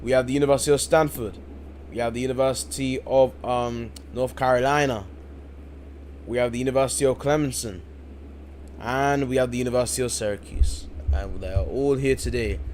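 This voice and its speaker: A man's voice: medium pitch, steady tone, fairly quick, a little mellow, a little hiss or hum. A man commentating a live-streamed game event late at night, tired but hyped, repeating himself a lot. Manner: hyped and repetitive, keeps saying "you guys". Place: home, in the UK.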